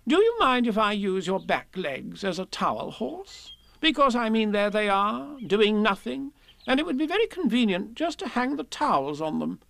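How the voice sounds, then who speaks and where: A man doing a high, chattering rabbit. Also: sing-song, gravelly, a bit bright, no echo, full character voice. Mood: cheerful. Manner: brisk and chatty. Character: fussy, chattering rabbit host.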